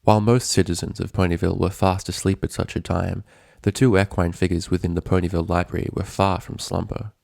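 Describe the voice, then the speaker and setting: A man reading a scripted story as narrator, in a measured, dramatic storytelling manner. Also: sits low, little pitch movement, gravelly, darkish tone, no echo, studio quality.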